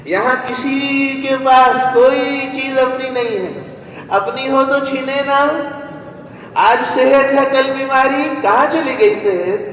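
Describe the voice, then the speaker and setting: A man's voice: very high-pitched, takes it slowly, fairly bright tone. A man preaching an Islamic sermon to a gathering, emphatic and repeating phrases for effect. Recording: distant, very echoey hall with background noise.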